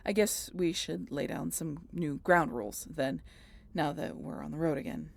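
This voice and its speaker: Gravelly, low-voiced vampire woman, played by an actress. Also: animated, heavily acted. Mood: wry, brusque. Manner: halting, sardonic, casually profane. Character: reluctant century-old female vampire, sardonic, guilty, guarded, profane. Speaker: audio roleplay voice actor. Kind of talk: intimate scripted audio roleplay addressed to the listener.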